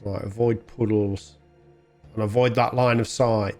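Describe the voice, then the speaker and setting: A man's voice: fairly low pitch, fairly even intonation, measured pace, a little mellow. A man hosting a gaming video, chatting casually and thinking aloud as he plays. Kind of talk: casual game play commentary. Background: game music.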